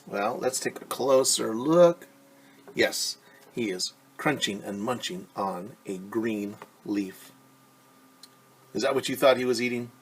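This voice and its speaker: A man reading a picture book aloud as a teacher, pausing to ask children questions and explain things simply. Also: on the low side, slight background noise.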